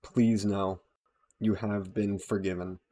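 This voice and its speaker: A man narrator puts on a frail old woman near death in a fantasy audio drama. The delivery is very slow and low, solemn, formal and forgiving. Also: little pitch movement, warm tone.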